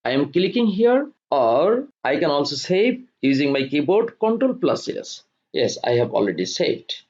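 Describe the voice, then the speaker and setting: A man narrating a step-by-step software tutorial in short, deliberate instructional phrases. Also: a little high, sing-song. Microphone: voice-over for screen recording. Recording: dull lo-fi voice-over.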